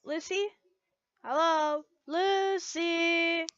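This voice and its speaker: A woman's voice: very high voice, steady tone, very slow, fairly bright tone, no echo, highs cut off, voice pushed up, exaggerated voice. A woman voicing a teasing, giggly young woman, a bold and pushy best friend in an amateur story reading. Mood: teasing, prodding.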